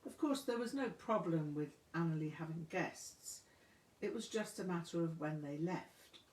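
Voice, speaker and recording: A woman narrating a memoir from a script with measured, deliberate phrasing. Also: deep voice, darkish tone. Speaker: audiobook reader. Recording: echoey room.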